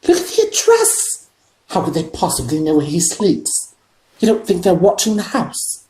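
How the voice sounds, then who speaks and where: A woman voicing a fussy, easily panicked middle-aged aunt in a storybook, shrill and quivering. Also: huge pitch swings, moderate pace, warm tone. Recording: echoey room.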